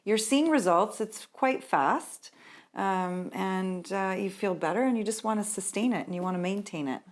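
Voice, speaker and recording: A woman's voice: medium pitch, moderate pace. Woman guest, medium voice, easygoing, explains stuff simply. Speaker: spa owner, guest. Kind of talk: casual interview.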